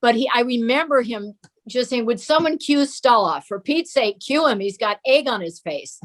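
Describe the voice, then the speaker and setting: An American woman reminiscing casually as a guest, acting out remembered remarks in an expressive way. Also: on the high side, moderate pace. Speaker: guest, daughter of a TV performer.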